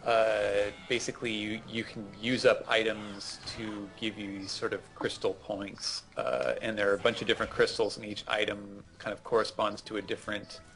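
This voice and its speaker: Low-voiced man explaining a video game. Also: flat delivery, normal speed, few pauses, fairly bright tone, soft background music. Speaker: gaming show co-host. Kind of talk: casual gameplay commentary and explanation.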